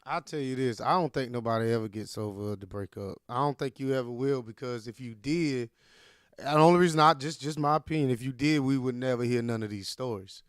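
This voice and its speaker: A man in a casual chat, reflective and measured, framing his views carefully as his own opinion. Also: mid-range voice, clean tone, dry recording, clean studio sound.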